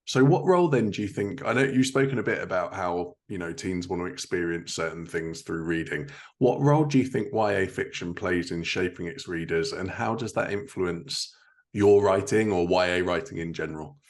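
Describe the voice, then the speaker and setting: Low-voiced man hosting an interview. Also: moderate pace. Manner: affirming, reflects back the guest's points. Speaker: podcast host, writer. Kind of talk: conversational interview.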